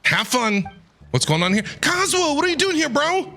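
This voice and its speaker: A man giving casual, slangy gameplay commentary as an excitable streamer. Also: extremely high pitch, moderate pace, vocal fry. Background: game music.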